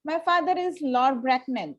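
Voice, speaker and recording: A woman's voice: high voice, expressive, moderate pace, highs cut off. A woman reading the part of a haughty, gushing young Victorian society lady in an amateur play reading, formal and self-assured. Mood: haughty, pronouncing. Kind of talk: amateur play table read.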